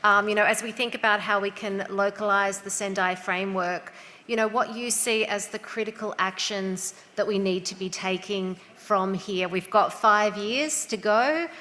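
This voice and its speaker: A woman's voice: mid-range voice, steady tone, moderate pace, bright, forceful tone, big-room sound, slight background noise. A woman moderating a conference panel, speaking with frequent "you know" and "um" fillers.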